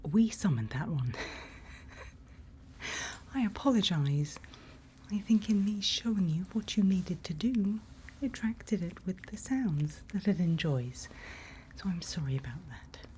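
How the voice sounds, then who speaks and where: A woman playing a calm, reassuring monster-hunting guide in a whispered ASMR roleplay, speaking in a hushed, patient, breathy way. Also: fairly low pitch, unhurried, slight background noise. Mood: reassuring, apologetic. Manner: hushed, patient, instructive, soothing.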